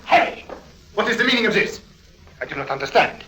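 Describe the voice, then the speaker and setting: A man's voice: high-pitched, animated, fairly quick, heavy background noise. A man playing a suave, stiffly courteous Viennese con man with a German accent, speaking in formal, clipped phrases in 1950s radio drama style. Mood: indignant, alarmed. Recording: old 1950s radio recording.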